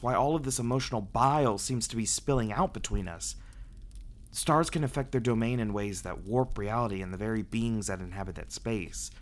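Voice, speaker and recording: A man playing a reclusive, brooding vampire who confides earnestly and haltingly, in an ASMR roleplay audio drama style. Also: mid-range voice, lightly acted. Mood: alarmed, agitated. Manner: halting, hesitant delivery with dramatic pauses and sighs.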